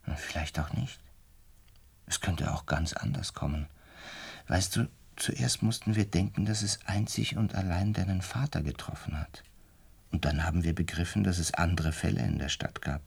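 A man's voice: low voice, normal speed, gravelly, husky, no room sound, heavily acted. An actor playing a pensive, resigned man in a radio play, recounting and explaining things in a hesitant, musing way. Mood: subdued, musing. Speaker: narrator and lead character of a radio play. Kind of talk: intimate reflective radio drama narration and dialogue.

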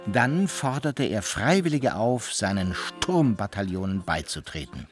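A man's voice: on the low side, sing-song, measured pace. A man narrating a radio play in a scripted, literary storytelling style. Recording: music behind the narration.

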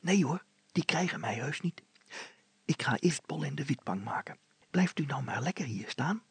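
A man voicing an eager, mischievous boy who speaks to his father in confident, hushed whispers. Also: a little high, lively intonation, moderate pace, breathy edge, no room sound, pitched above the performer's own voice, full character voice.

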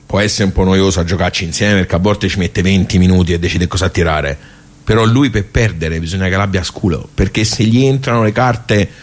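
A male actor plays a garrulous Tuscan man, a regular at the local social club, in a theatrical radio monologue. His voice sits low, and he rambles in homely colloquial speech, wry and rueful, putting questions and answering them himself. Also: a little hiss or hum, lightly acted. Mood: boastful, amused. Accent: Tuscan Italian.

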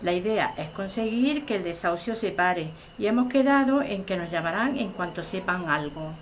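A woman's voice: on the low side, animated, moderate pace, slight background noise, lightly acted. A woman playing a practical supporter in a radio drama, reassuring and explaining things in a soothing manner.

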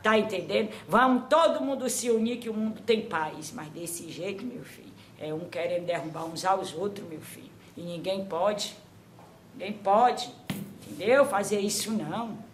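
A woman's voice: mid-range voice, close to an everyday voice. Old grandmother spirit, patient counselor, trailing phrases, mid voice, faint hiss, as a woman channels her. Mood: counseling. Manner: slow, repeats "my son", trailing off softly. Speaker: spirit medium.

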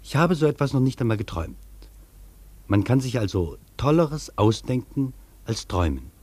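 A male actor playing a reflective traveller, delivering a literary radio monologue in a measured, poetic, declamatory way. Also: mid-range voice, sing-song, dark tone, dry recording. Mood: hushed wonder. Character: reflective male traveller marvelling at a vast foreign city. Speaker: actor reading a traveller's first-person account in a radio feature.

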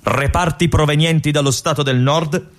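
An actor playing a restless young army lieutenant in a theatrical monologue, with an intense, halting delivery that moves between hushed and urgent. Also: male voice, on the high side, fairly even intonation, close to an everyday voice. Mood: urgent, bitter. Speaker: stage actor performing a monologue.

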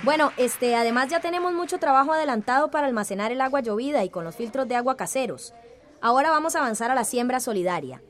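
A practical community organizer in a radio drama. Her voice is fairly high, and she runs things in an earnest, orderly, cooperative way. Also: expressive, fast. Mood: proposing. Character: female villager chairing a meeting, practical, cooperative organizer. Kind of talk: Spanish-language radio drama acting.

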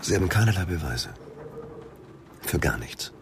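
An actor playing a middle-aged, proud aristocratic suspect in a German radio drama, terse and indignant, halting when pressed. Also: male voice, sits low, sing-song, background noise.

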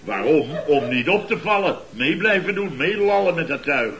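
A man's voice: a little high, animated, moderate pace, noisy background. A man playing a self-pitying, blustery contractor boss in a Dutch radio comedy serial, complaining indignantly in clipped, repetitive phrases.

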